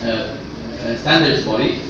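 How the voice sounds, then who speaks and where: A man raised in Austria giving a workshop presentation in a halting way, often repeating words as he goes. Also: medium pitch. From Austria, born in Egypt. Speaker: conference speaker, web standards specialist. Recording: echoey, noisy room, distant muffled microphone.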